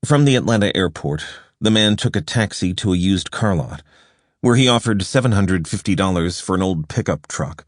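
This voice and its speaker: A man with a very deep voice reads a nonfiction audiobook in a measured, even storytelling way. He is recorded close on a studio microphone in a completely dry room with no reverb. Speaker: audiobook narrator. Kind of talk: scripted audiobook narration.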